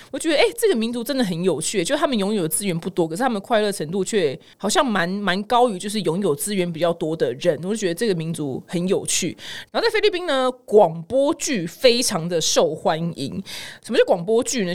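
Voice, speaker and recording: A woman podcast host with a Taiwanese Mandarin accent, commenting on the news in a chatty, informal, slangy way. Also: mid-range voice.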